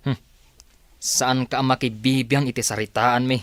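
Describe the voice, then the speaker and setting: A man's voice: medium pitch, level tone, a little slow, fairly bright tone, dry recording, natural voice. A man acting a defensive, evasive young rich son in an Ilocano radio drama, hesitant and protesting. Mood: indignant.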